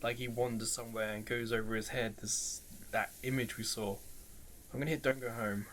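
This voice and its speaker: A man's voice: medium pitch, little pitch movement, fairly quick, fairly bright tone, slight background noise. A man giving casual let's play commentary on a visual novel, musing aloud and often asking questions as he goes. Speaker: gaming video host. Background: game music with mallet percussion.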